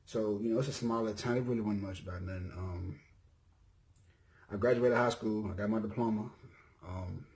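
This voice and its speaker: A man's voice: lowish voice, steady tone, moderate pace, long pauses, a little mellow. A man in his twenties from Mississippi with a Southern accent, casually introducing himself on his video channel, rambling with many ums and self-corrections. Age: 20s. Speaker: YouTuber.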